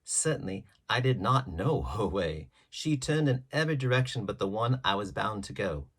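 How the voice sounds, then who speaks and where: A man's voice: lowish voice, expressive, normal speed, fairly bright tone, husky. A man reading a classic adventure story aloud as scripted literary narration. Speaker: audiobook reader.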